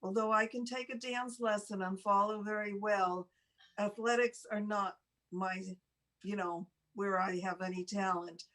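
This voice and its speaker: An older woman telling a personal story casually, in a halting, rambling, self-deprecating way, as a talk show host. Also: mid-range voice, fairly even intonation, fairly bright tone, rough recording.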